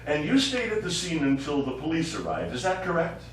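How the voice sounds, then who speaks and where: A man's voice: a little high, very animated, a little fast. A male actor as a pompous, ingratiating trial lawyer who stammers his way along. The sound is very echoey and distant, as from a stage, with faint noise behind it. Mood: officious. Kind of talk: live stage play acting. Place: small theatre studio, recorded from the audience.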